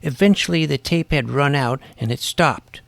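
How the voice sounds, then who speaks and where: An actor playing an earnest schoolboy detective who narrates his own mystery story in a deadpan, self-serious way. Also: on the high side, normal speed, vocal fry, no room sound. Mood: wry, reflective. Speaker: narrator and lead character. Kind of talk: scripted first-person mystery narration.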